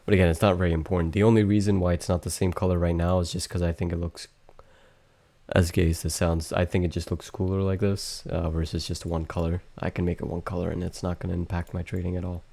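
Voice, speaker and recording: A young man hosting a live trading stream, explaining charts in a monotone, halting way with many restarts. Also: low-pitched, vocal fry. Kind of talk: casual live trading lesson.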